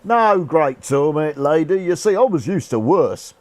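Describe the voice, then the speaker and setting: An actor playing a cheerful, irreverent rough soldier's ghost in a radio play, talking in jaunty, colloquial speech. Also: male voice, fairly high pitch, expressive, a little slow, no room sound. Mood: jolly, unrepentant. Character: cheeky, cheerful old-soldier ghost, rough common man. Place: actor's home.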